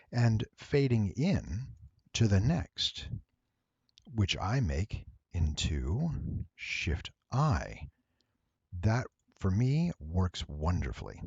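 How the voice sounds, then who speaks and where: Casting: male tutorial host, low and husky, slightly nasal, unhurried and playful, dry close recording. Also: darkish tone, no echo, dull audio. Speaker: voice actor giving a software tutorial. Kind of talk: casual screen-recorded tutorial.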